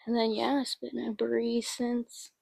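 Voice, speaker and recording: A woman's voice: fairly high pitch, completely flat delivery, a little slow, creaky, a little mellow, no echo. Laid-back, teasing young-sounding woman. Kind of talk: casual chat.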